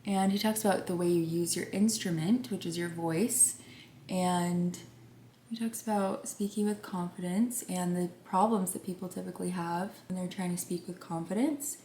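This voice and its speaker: A young woman with a soft, gentle voice talks through her makeup in a relaxed, rambling way, sometimes dropping to a whisper. Her voice sits a little low for a woman. It was recorded in an echoey room at home with a faint hiss behind it. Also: moderate pace. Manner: rambling, drops into whispers at times. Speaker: beauty vlogger. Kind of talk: soft chatty get-ready-with-me vlog.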